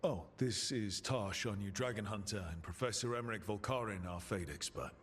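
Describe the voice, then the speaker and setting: A man voicing a resolute team leader in a fantasy video game, steady and earnest. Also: fairly low pitch, moderate pace, lightly acted. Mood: commanding.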